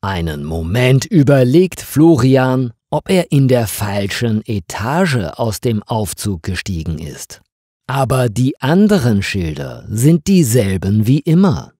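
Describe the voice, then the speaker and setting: A man reads a scripted story aimed at language learners. His delivery is slow and deliberate, with highly varied intonation across short sentences. The voice has a somewhat husky edge. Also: warm tone, dry recording, clean studio sound. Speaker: narrator of stories for language learners.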